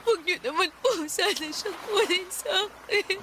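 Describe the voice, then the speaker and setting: A woman's voice: very high-pitched, measured pace, a bit bright, a little hiss or hum. A woman playing a devout, grieving mother in a radio drama, with a pleading manner. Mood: anguished pleading. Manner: pleading, tearful.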